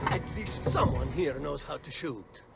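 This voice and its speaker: An actor playing a sarcastic, bossy cannon gunner in a video game, with an irritable, mocking manner. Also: male voice, on the high side, expressive, unhurried, gravelly. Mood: irritated, mocking. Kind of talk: animated video game acting. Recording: loud music over the voice.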